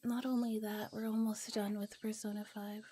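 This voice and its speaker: A soft-spoken woman streaming a relaxed ASMR read-aloud of a visual novel with casual asides, often dropping to a whisper. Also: mid-range voice, normal speed, slight background noise. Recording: music behind the voice. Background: background music.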